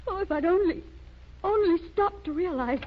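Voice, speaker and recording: A muffled vintage radio recording of a spoiled, anxious young lady. Her voice is high and swings widely as she pleads and justifies herself in 1930s melodrama fashion. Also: expressive, normal speed, a little hiss or hum. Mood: anguished. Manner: breathless, pleading, self-justifying. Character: spoiled, frightened, pleading young society woman.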